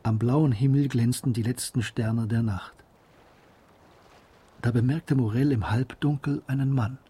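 Mellow, slightly husky male narrator. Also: medium pitch, steady tone, unhurried. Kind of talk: scripted literary radio drama narration.

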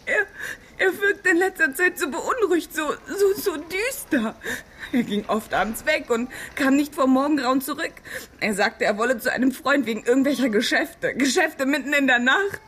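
A woman's voice: high voice, expressive, moderate pace, fairly bright tone. An actress playing an anxious, trusting young woman in love in a radio drama, hesitant, earnest and tearful. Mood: tearful, afraid.

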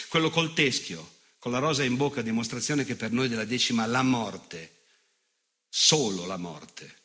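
An actor playing an unrepentant, nostalgic fascist veteran in a theatrical monologue, cruel and self-righteous, with sardonic rhetorical questions and weighted pauses. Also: male voice, on the high side, bright, forceful tone.

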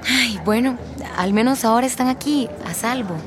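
A woman acting a compassionate, soft-spoken listener in a radio drama. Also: on the high side, normal speed, a crowd in the background, close to an everyday voice. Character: sympathetic, gentle female listener consoling a migrant.

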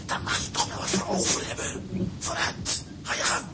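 An actor playing a gruff, commanding seasoned seaman in a radio drama, barking impatient, practical orders. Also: normal speed, lightly acted. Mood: brisk, firm. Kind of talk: Dutch audio drama acting. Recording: loud sea and boat noise.

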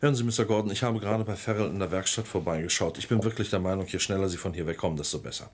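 An actor playing a sober, sceptical village police inspector in a radio crime drama, questioning and reasoning matter-of-factly. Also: male voice, deep voice, racing, slightly rough. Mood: firm, reasoning.

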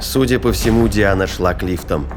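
A man's voice: deep voice, normal speed, background noise. A man reading scripted science fiction narration as the narrator of an audio drama. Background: dramatic music, battle sound effects.

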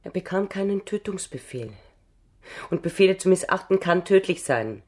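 Husky, dark-voiced, anxious woman. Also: fairly low pitch, expressive, moderate pace. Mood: grave urgency. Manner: urgent, pleading, secretive. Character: anxious, determined woman hiding a dangerous past. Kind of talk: radio drama acting.

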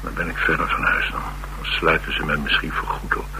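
Deep-voiced, troubled Dutch ex-soldier. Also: male voice, little pitch movement, a little fast, sharp tone, exaggerated voice, poor audio. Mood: troubled, uneasy. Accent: Netherlands Dutch. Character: troubled, blunt ex-soldier man, restless drifter. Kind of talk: radio drama dialogue acting. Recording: radio drama with clear hiss and hum.

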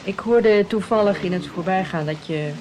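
A man's voice: high-pitched, moderate pace, a little mellow, lightly acted, low-quality recording. A man playing a gossipy, pious country innkeeper in a radio play, with a folksy, insinuating way of talking. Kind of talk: radio drama acting. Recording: radio play with clear background noise.

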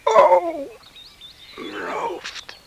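An actor from a radio play, playing a hot-headed, befuddled young countryman, speaking in agitated, halting exclamations. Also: very high-pitched, huge pitch swings, gravelly, lo-fi sound, full character voice. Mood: groggy, hungover, dismayed. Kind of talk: radio drama acting. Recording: hissy old radio play recording.

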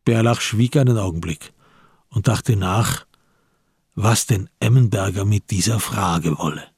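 A man as the sober narrator of a radio crime drama, telling the story in measured literary prose. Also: lowish voice, a little mellow, husky, dry recording, clean studio sound, exaggerated voice.

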